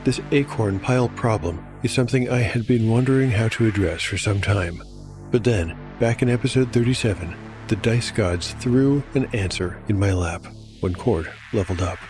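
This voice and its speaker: Low-voiced man narrating over music. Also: normal speed. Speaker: narrator and game master. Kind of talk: scripted audio drama narration.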